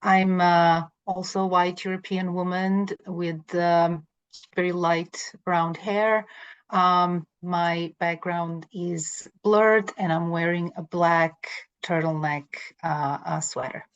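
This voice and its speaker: A woman from Croatia introduces herself over a video call, friendly but halting, with fillers. Her voice is on the low side, and the call audio is dull. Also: steady tone, clear, resonant tone. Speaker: company director.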